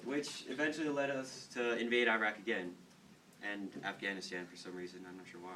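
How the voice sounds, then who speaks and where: A teenage boy with a fairly low voice rambles through an informal story, repeating words and leaning on fillers. He is recorded from some distance, with faint background noise. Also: fairly even intonation, brisk, pushed and bright. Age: teens. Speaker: student storyteller. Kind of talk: casual informal talk to classmates.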